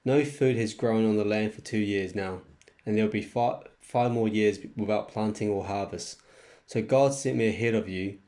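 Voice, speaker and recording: A man's voice: fairly low pitch, normal speed, warm tone, close to an everyday voice. A man playing a powerful governor and forgiving brother, declaiming earnestly in an amateur scripture drama. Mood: earnest, reassuring.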